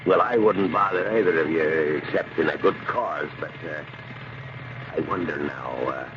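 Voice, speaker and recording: Deep-voiced, folksy police sergeant. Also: male voice, normal speed, noisy background. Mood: concerned, tactful. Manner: folksy, hesitant, deferential. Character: genial, considerate male police sergeant. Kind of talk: 1970s radio drama acting. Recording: dull old radio recording.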